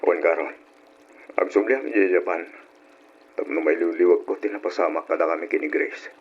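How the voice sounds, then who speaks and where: Casting: male actor for a jilted, forgiving suitor, low earnest voice, radio drama on a muffled, noisy recording. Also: moderate pace, lightly acted. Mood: wistful. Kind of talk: Ilocano radio drama acting.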